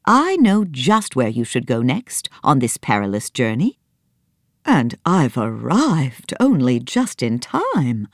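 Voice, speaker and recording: A wise, protective mother elephant with a very deep voice, as a woman reads her. She speaks slowly and tenderly, with a lot of movement in her tone. The sound is close and dry. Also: huge pitch swings, no room sound, exaggerated voice.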